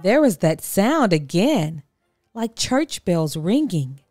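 A woman's voice: on the low side, big pitch swings, slow, music in the background. A woman narrating a children's storybook expressively as a read-aloud.

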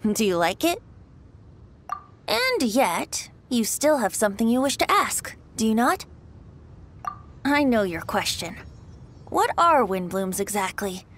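Boyish game bard, high lilting voice, very animated, playful, carefree. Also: female voice, slow pace, pauses often, slight background noise. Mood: gently reflective. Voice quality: light, boyish. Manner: teasing, breezy, lilting. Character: playful, carefree youthful male bard, secretly wise. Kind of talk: video game character acting.

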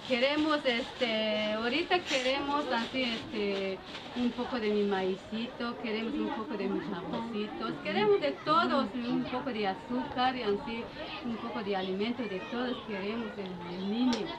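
A woman interviewed in a crowded barn, speaking haltingly with fillers. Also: on the high side, over a noisy crowd. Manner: halting simple speech with fillers. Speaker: displaced community member being interviewed. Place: crowded wooden barn indoors.

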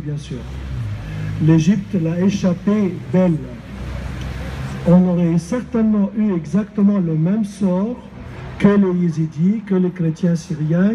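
Older egyptian guy speaking at a street protest, high mellow voice, handheld mic, some street noise. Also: muffled recording. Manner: emphatic, hesitant with many fillers. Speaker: rally speaker. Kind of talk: outdoor protest speech. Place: street rally outdoors. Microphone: handheld microphone.

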